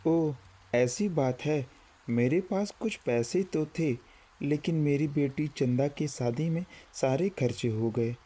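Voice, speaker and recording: A man's voice: on the high side, takes it slowly, dark tone. A man playing a kindly, caring village schoolmaster near retirement in a cartoon story. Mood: gentle, reassuring. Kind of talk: Hindi cartoon story dialogue.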